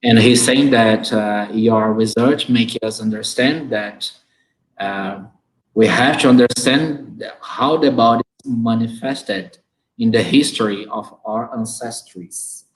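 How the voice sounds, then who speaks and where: A man with a Brazilian Portuguese accent hosting an online chat from home, speaking halting English and laughing often. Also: medium pitch, slight background noise, rough recording. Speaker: host. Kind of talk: online conversation, relaying audience comments. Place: at home, video call.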